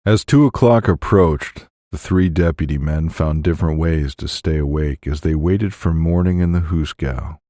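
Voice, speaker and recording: Super deep guy reading an audiobook, measured delivery, bone dry close mic. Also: warm tone, no echo, soft background music. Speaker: audiobook narrator, voice actor. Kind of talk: scripted fiction narration.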